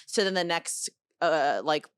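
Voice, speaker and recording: Low-voiced woman telling rambling stories. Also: a little slow, clean tone, dry recording. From United States. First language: Chinese. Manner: rambling anecdotes with many fillers. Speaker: podcast guest. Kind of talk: casual podcast chat.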